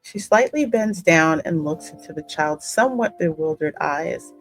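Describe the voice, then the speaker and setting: A woman's voice: sits low, expressive, normal speed, poor audio. A woman hosting a gaming video, narrating story text and adding casual asides, with music behind her. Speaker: gaming channel host. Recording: music under the voice.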